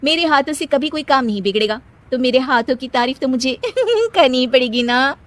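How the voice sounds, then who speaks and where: Woman acting a high-pitched, boastful, bossy young housewife. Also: lively intonation, moderate pace. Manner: sassy, scolding, self-satisfied.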